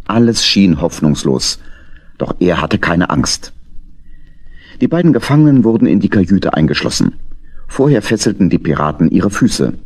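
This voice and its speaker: A man tells a children's story as narrator. His voice is deep and husky, with lively movement in the scripted phrasing.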